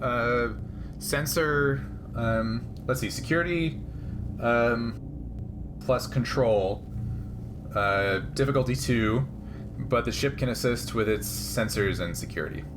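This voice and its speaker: A man acting as game master in a tabletop role-playing session, speaking casually and haltingly as he thinks aloud. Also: medium pitch, noisy background.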